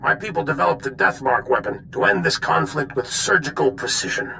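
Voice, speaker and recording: An actor playing a hard-bitten Republic general in a video game, stern and clipped in a military way. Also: male voice, a little high, lively intonation, fairly quick, gravelly, pushed and bright, a little hiss or hum, full character voice. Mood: grim, stern.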